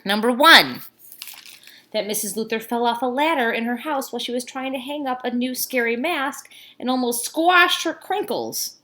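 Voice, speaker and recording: Bright-voiced woman reading a children's book aloud. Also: fairly high pitch, normal speed. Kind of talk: read-aloud storytelling with casual asides.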